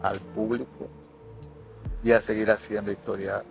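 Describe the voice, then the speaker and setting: A man's voice: fairly low pitch, level tone, measured pace, vocal fry, faint music behind. A man in his sixties with a Maracaibo Venezuelan accent, an actor and director speaking emphatically and with passion in an interview, often repeating phrases for effect. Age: 60s. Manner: impassioned, emphatic, with repetitions for effect. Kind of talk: personal interview testimony.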